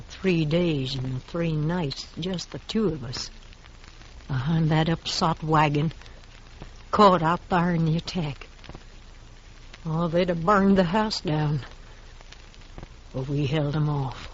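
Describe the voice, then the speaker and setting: An American actress playing a stubborn, grieving frontier homestead widow in an old-time radio western, speaking fervent, folksy lines. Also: female voice, low-pitched, slow pace, long pauses. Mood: fervent recollection. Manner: plain folksy speech, fervent, dramatic. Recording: muffled old radio recording with faint noise.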